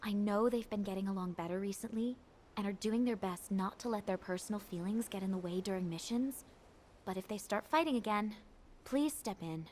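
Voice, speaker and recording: A woman's voice: mid-range voice, brisk, darkish tone, slight background noise, natural voice. A woman voicing an earnest, caring military commander giving formal, composed mission briefings in a video game. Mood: worried, confiding.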